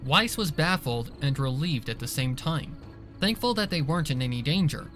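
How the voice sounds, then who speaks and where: Higher-pitched male story narrator over music. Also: expressive, normal speed. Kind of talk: scripted dramatic story narration. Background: soft music behind the voice.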